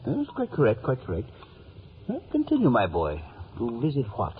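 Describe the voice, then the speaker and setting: Fussy, swooping bookish gentleman. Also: medium pitch, wildly swooping pitch, moderate pace. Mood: fussy, kindly. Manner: hemming, pedantic, throat-clearing. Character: pompous, kindly, flustered family friend. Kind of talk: 1950s radio drama acting. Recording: dull, noisy vintage radio recording.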